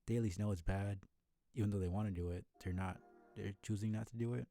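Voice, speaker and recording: A man's voice: fairly low pitch, steady tone, quick pace, warm tone. A man streaming a video game with casual, argumentative and sardonic running commentary. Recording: game music behind the voice.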